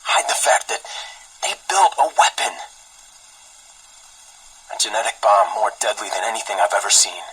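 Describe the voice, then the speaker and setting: An actor playing a guilt-ridden, haunted scientist confessing haltingly in a breathy, hoarse half-whisper for a game audio log. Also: male voice, lowish voice, very animated, fairly bright tone, exaggerated voice, low-quality recording. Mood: horrified, remorseful. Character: guilt-ridden, fearful, haunted military scientist aboard a ship.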